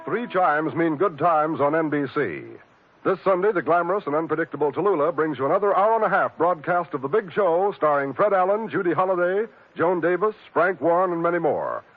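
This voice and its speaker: A man's voice: a little high, lively intonation, a little fast. A man working as a network radio announcer, reading scripted 1950s broadcast announcements. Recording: tinny old radio broadcast recording.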